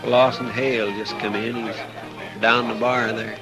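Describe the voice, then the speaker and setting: A man's voice: mid-range voice, little pitch movement, unhurried, over music, close to an everyday voice, poor audio. An actor playing an anxious, loyal frontier deputy with a worried, folksy drawl who stammers when he frets, in 1950s radio drama style.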